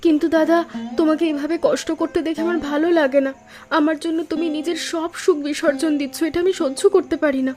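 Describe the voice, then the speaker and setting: A woman voicing an earnest, concerned young student sister in an animated story. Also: high-pitched, a little fast, lightly acted. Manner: earnest, pleading.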